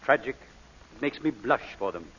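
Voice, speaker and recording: An actor playing a gallant, loyal gentleman crook in an old radio drama, husky and deadpan. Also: mid-range voice, normal speed. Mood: dry, gallant. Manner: deadpan, mock-solemn. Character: dry, gallant gentleman crook, drily amused and loyal accomplice. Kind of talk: 1940s radio drama acting. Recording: echoey, dull old radio recording.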